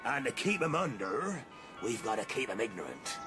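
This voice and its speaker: A man's voice: mid-range voice, sing-song, normal speed, over music, natural voice. A man playing a blustering, suspicious farmer with a rural English accent in a radio drama. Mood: scheming. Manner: rustic, emphatic, grumbling.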